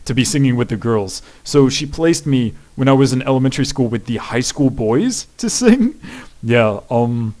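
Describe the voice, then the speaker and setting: A young man with a deep voice talks through viewer questions at home. His pitch sits in a middle range for a man. He rambles loosely, restarting sentences and leaning on fillers as he goes. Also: lively intonation, normal speed, a little mellow. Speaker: YouTuber answering viewer questions. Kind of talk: casual Q&A chat.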